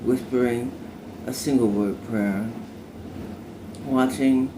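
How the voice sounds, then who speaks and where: A man recites spoken word poetry at a slow pace. He breaks lines into short, deliberate phrases and stresses them dramatically. His voice sits at a medium pitch, over clear background noise. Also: fairly even intonation. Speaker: poet reciting own poem. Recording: clearly noisy recording, ambient background.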